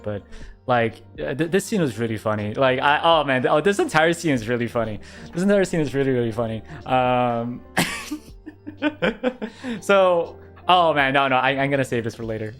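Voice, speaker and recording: A young man streaming casual chat in a quiet voice that doesn't project, rambling, repeating himself and laughing often. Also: mid-range voice. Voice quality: quiet voice that does not project.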